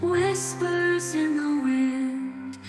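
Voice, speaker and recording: High-voiced woman singing over loud music. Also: extremely slow. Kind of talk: eerie sung theme song.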